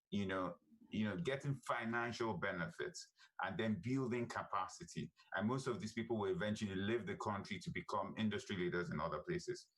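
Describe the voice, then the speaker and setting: A man's voice: fairly low pitch, a bit bright, low-quality recording. A man from Nigeria, speaking as a panelist in an emphatic way and often checking in with "right?". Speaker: panelist, comic company head. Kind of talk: virtual panel discussion.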